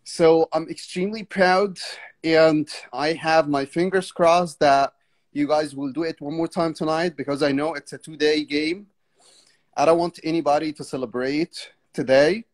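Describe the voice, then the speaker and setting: A man's voice: fairly high pitch, steady tone, moderate pace. A man from Egypt calling into a live video chat, polite and eager, answering with short agreements. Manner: polite, eager, short replies with many yeses. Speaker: caller. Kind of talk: casual call-in chat. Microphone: video call.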